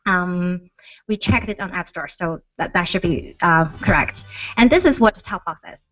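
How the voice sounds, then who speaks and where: A woman giving an informal conference presentation about a startup, speaking in a halting, conversational way. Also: lowish voice, fairly bright tone, no echo. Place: conference talk. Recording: dull, lo-fi microphone sound.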